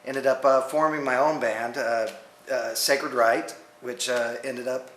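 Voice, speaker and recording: An American man from Texas, a musician talking casually and haltingly in a band interview, often repeating himself. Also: mid-range voice, steady tone, a bit bright. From Dallas, Texas, USA. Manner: rambling, halting, repeats words. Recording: roomy echo, faint noise.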